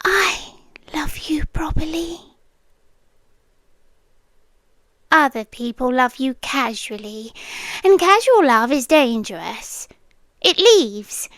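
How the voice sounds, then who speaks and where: A woman playing a possessive, devoted yandere girlfriend with a British accent, speaking softly and deliberately in an intimate ASMR roleplay, often slipping into whispers. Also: sits high, sing-song, no echo, studio quality, exaggerated voice. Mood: intense devotion. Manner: slow, deliberate, lingering pauses. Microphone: close microphone, dry sound.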